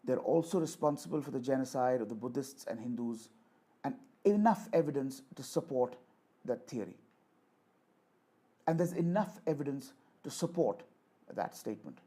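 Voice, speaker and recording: A man giving a rhetorical political speech in a declamatory, deliberate manner, repeating phrases for emphasis. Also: medium pitch, lively intonation, mellow, slightly rough. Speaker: orator reading a voice sample.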